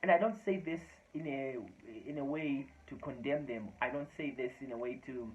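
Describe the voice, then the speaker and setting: A man's voice: mid-range voice, moderate pace. Earnest young man, Shona first language. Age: 20s. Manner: earnest, repeats phrases for emphasis. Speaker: YouTube channel host. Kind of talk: motivational talk to camera. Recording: dull lo-fi sound with faint noise.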